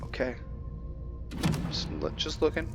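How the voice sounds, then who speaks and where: A man giving casual let's-play commentary, curious and thinking out loud, now and then dropping to a hushed whisper. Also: a little slow, over loud music. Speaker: gameplay commentator.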